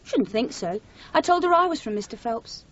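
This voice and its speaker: A high, lilting voice an eccentric, pushy would-be novelist in a radio play. She is breezy and unflappable. The old recording is muffled. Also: big pitch swings, moderate pace. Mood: breezy, provocative. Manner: breezy, forward. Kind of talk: radio drama acting.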